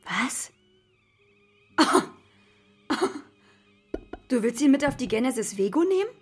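An actress playing a loving but firm, worried mother in a children's radio drama, expressive, moving between stern and tender. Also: sits high, slow pace, husky, soft background music, full character voice. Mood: stern, alarmed.

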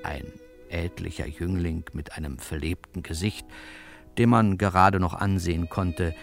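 An actor playing a detective's sidekick who narrates a crime radio play in a wry, colloquial way. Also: male voice, deep voice, steady tone, unhurried, vocal fry, soft background music. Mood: wry, sardonic.

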